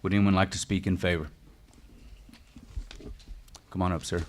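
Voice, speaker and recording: Deep voiced chairman running a public hearing, brisk, faint noise. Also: level tone. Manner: brisk, formal. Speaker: meeting chair. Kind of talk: procedural chairing of a meeting.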